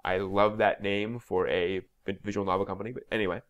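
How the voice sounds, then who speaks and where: A man's voice: lowish voice, steady tone, a little fast. A man hosting an explainer video, speaking in an informal, conversational way with emphatic stresses and asides that trail off.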